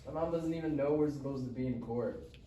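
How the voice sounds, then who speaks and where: A man's voice: medium pitch, brisk, mellow, lightly acted. A man playing a sullen, defiant Mexican-American teenage boy, grumbling and slangy, at a live script reading. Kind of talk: live script table read. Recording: roomy distant mic, faint noise.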